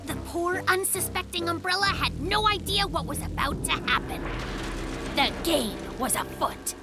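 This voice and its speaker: A very high-pitched, bossy little boy bear playing detective in a cartoon. The delivery is wildly animated and melodramatic, full of self-importance, with music underneath. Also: normal speed. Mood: dramatic narrating. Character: excitable young male polar bear cub playing detective. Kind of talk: cartoon acting with mock detective narration.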